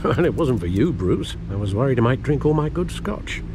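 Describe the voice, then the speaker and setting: A man's voice: medium pitch, very animated, a little fast, music in the background, natural voice. An actor playing a wry, formal older butler with fatherly concern in a video game. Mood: dry, reproachful.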